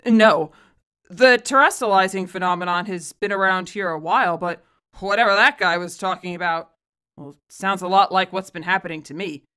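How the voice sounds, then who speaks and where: A woman as a bright-voiced, blunt teenage boy. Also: on the low side, moderate pace, clean tone, voice pushed down. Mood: matter-of-fact.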